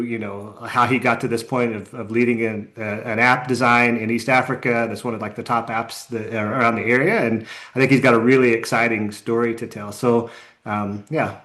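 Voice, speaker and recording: A man's voice: medium pitch, flat delivery, a little fast, bright, forceful tone. An American man hosting a podcast in a casual interview style, informal and often circling back over his phrases. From United States. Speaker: podcast host.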